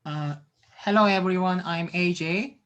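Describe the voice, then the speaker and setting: Woman, from Korea, student, halting, slow, lowish voice. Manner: hesitant, halting, many pauses. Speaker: incoming student. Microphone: video call.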